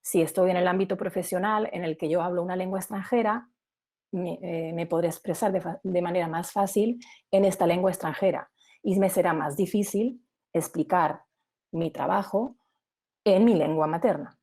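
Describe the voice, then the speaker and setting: Female webinar presenter, warm dark-toned voice, didactic explanatory delivery built around examples. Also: lowish voice, normal speed.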